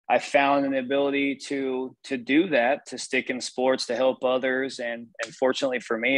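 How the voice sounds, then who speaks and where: Middle-aged man, guest on a call, reflective and measured, even monotone voice, dry close sound. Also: medium pitch, no echo, low-quality recording. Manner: measured, reflective, many "you know" fillers. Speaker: sports performance executive, guest. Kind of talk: casual interview over a call.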